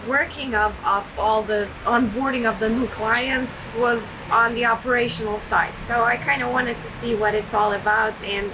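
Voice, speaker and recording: Chatty woman from Serbia, noisy background. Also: a little high. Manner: chatty, self-deprecating, many fillers and restarts. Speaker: guest, trucking entrepreneur. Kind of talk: casual interview storytelling. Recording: loud background noise.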